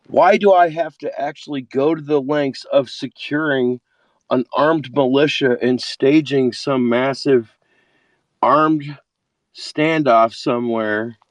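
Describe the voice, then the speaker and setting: A man's voice: mid-range voice, steady tone, takes it slowly, no echo. Vehement, profane man in a dry recording. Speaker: activist. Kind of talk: impassioned spoken monologue.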